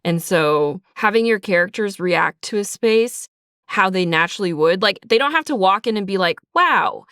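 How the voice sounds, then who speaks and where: A woman's voice: medium pitch, animated, moderate pace, fairly bright tone, clean tone, no echo, studio quality. A woman speaking casually in an interview as a writer, chatty and informal, peppering her talk with "like" and "sort of". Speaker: audio drama writer being interviewed. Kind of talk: casual behind-the-scenes interview.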